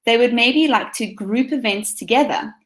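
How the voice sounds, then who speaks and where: Brief: South African woman, teacher presenting over a video call, bright higher voice, eager, with frequent ums. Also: expressive, normal speed. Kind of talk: conference presentation.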